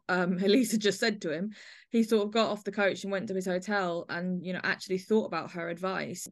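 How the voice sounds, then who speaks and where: A woman hosting a podcast, chatting conversationally as she reviews audio drama. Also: lowish voice. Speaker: podcast host.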